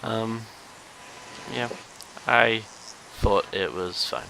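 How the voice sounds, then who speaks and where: A man's voice: fairly low pitch, drawn-out words, gravelly. A man who hosts a podcast, chatting casually about video games and debating in a hesitant, defensive way. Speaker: podcast host. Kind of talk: casual video game review chat. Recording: hissy background noise.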